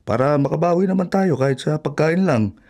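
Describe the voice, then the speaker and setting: An actor playing a gentle, devout older father who soothes and advises his grown daughter in a radio drama. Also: fairly high pitch, animated, fairly quick. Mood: curious, practical. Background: music.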